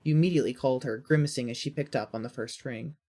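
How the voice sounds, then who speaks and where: A woman's voice: rumbling low, fast, mellow. A woman reading scripted second-person story narration.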